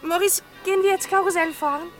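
An actress playing a lively, wheedling young sweetheart in a 1960s German radio play, with a girlish, chattering manner. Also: extremely high pitch, fast talker. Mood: gently coaxing. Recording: 1960s radio recording, music behind.

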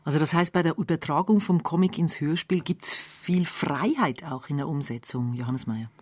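A man's voice: a little high, normal speed, darkish tone, dry recording. A man presenting a radio magazine and interviewing guests in a studio.

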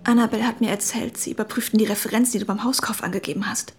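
An actress playing an insistent, hurt young woman who quietly pressures a friend in a German radio drama. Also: a little high, fairly even intonation, very fast, soft background music, close to an everyday voice. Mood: insistent, pressing.

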